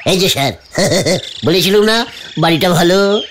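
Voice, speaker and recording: A woman voicing an excitable, scheming village uncle in a comic cartoon, muddling and stammering through the words. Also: on the low side, measured pace, lightly acted. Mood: ingratiating. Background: music.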